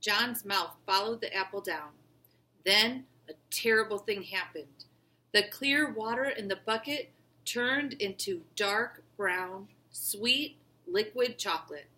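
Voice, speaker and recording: A woman's voice: a little high, expressive, measured pace, sharp tone, low-quality recording. A woman reading a children's storybook aloud as a narrator.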